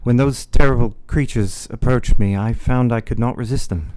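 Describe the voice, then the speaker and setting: A man's voice: medium pitch, moderate pace, a little mellow, no room sound, natural voice. A man plays a resolute young Victorian husband, devoted and tormented, in a radio drama. His mid-range voice is recorded very dry. He speaks with grave, formal restraint.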